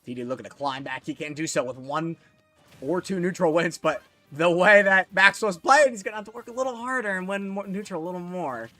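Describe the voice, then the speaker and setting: A man giving solo live commentary on a fighting game tournament, excitable and jumping to high shouts at big moments, his voice a little worn, from a small closet. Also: brisk, soft background music. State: losing his voice. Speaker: esports commentator.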